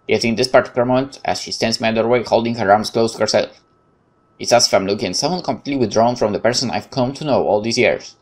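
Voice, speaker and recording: A man's voice: mid-range voice, quick pace. Polish-accented man reading game narration expressively. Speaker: game streamer. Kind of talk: reading game narration aloud with brief commentary.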